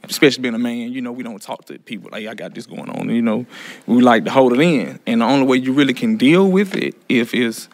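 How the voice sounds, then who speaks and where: A man's voice: mid-range voice, quick pace, no echo. A man in a prison writing class speaking earnestly and reflectively, in talk and recited verse. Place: room inside a prison. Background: soft guitar music.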